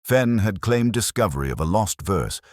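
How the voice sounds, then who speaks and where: A man narrating a scripted first-person detective story in a measured, brooding, literary manner. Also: fairly low pitch, lively intonation, no room sound, studio quality.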